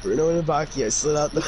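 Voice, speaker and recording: A young man reading fan fiction aloud casually and comically, breaking off into sweary asides. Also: fairly high pitch. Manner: jokey, easily sidetracked, swears. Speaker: amateur fan fiction reader. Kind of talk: casual comedic read-aloud with joking asides. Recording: noisy amateur recording with room ambience.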